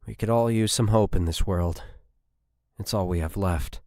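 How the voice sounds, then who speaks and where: A man voicing an earnest female military scientist in an audiobook, faithful yet excitable. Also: on the low side, moderate pace, no room sound, studio quality, natural voice. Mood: wistful.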